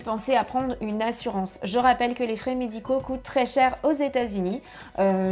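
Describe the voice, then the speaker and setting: A woman in her thirties giving travel tips to camera from her home office, lively and chatty, on a lapel mic. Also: fairly high pitch. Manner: lively, chatty, gesturing with hands. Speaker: family travel vlogger. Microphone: clip-on lapel mic.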